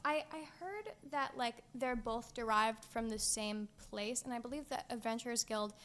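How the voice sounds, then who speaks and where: A woman's voice: on the high side, moderate pace, a bit bright. A teenage girl co-hosting a podcast in casual chat, laughing often and hesitating with frequent ums. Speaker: podcast co-host.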